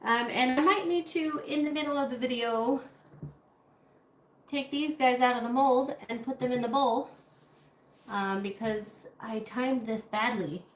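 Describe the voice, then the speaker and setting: A woman's voice: a little high, unhurried. A woman hosting a casual art livestream, chatting with viewers as she works, her voice a little congested. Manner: chatty, talks to viewers while working. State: slightly congested. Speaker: art channel host. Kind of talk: casual livestream chat while crafting. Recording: roomy with faint room noise.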